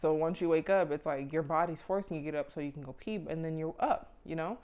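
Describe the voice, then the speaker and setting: A woman's voice: sits low, fast, a little mellow. A woman in her mid twenties chatting casually and motivationally to camera, with a blunt, informal, slangy manner. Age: mid 20s. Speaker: vlogger giving self-improvement advice.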